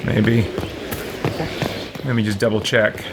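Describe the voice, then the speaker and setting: A man doing casual let's play commentary as a streamer, musing and thinking out loud. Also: lowish voice, level tone, takes it slowly, heavy background noise. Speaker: gaming streamer.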